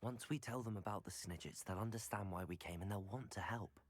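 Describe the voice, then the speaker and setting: A man playing an earnest young wizard, lowish voice. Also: brisk, close to an everyday voice. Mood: reassuring. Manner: polite, articulate, formal. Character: earnest, self-assured young male wizard student. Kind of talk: video game voice acting.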